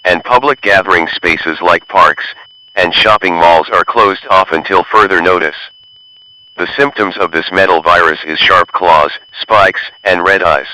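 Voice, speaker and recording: A man as a sharp, low emergency announcer. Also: a little slow, heavily acted, low-quality recording. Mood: formal. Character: male emergency broadcast announcer reading official warnings. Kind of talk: formal scripted alert.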